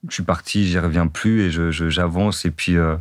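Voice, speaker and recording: A young man from northern France with a deep, low voice talks through an interview in a loose, easygoing way. He repeats himself and leans on fillers. The sound is dry and close. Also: fairly even intonation, moderate pace, dry recording. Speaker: dating-profile guest. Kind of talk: casual interview chat.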